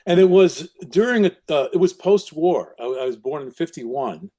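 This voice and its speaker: A man in his seventies with a New York accent who grew up speaking Italian first, telling his life story as an interview guest in a reflective, unhurried way with frequent restarts. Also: on the high side, expressive, mellow. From Brooklyn, New York. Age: 70s.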